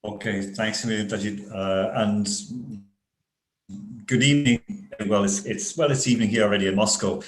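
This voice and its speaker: Irish man, university panelist, chatty and anecdotal, deep-ish voice, roomy echo on the call. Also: fairly even intonation, normal speed. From Ireland. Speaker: university international relations director. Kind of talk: webinar panel talk. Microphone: video call. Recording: echoey room on video call.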